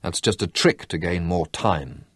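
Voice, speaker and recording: A man's voice: sits low, a little slow, fairly bright tone, husky, no room sound, studio quality, exaggerated voice. A man reading an annoyed military judge.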